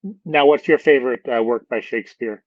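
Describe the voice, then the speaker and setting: A man's voice: medium pitch, a little fast, no echo. A man hosting a casual interview, with a hesitant, loosely phrased way of asking things. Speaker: interview host. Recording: dull, lo-fi, dry sound.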